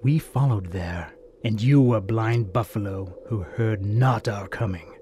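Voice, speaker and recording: A man playing a coldly courteous, gloating bandit chief in an audio drama, with florid, formal and measured speech. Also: lowish voice, dark tone, a little hiss or hum, lightly acted. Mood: proud, assured. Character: gloating bandit chief, scarred former slave trader, coldly courteous villain.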